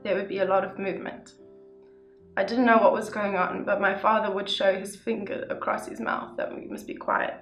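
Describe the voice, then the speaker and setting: A young woman reading a first-person story aloud in a steady, even way. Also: medium pitch, normal speed. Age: young adult. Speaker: youth reader. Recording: echoey room with soft guitar music.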